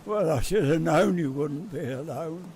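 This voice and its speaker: A man acting a smooth male secret agent who poses as a reporter in an amateur radio play, wry and flirtatious. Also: fairly high pitch, unhurried, a little mellow, a little hiss or hum. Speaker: lead actor in amateur radio drama.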